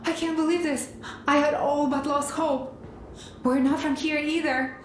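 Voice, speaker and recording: Earnest, weary young-sounding mother held prisoner, game drama, high voice with echo. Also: fairly even intonation, unhurried, a little hiss or hum. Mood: relieved. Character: earnest captive mother, weary but newly hopeful. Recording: echoey room.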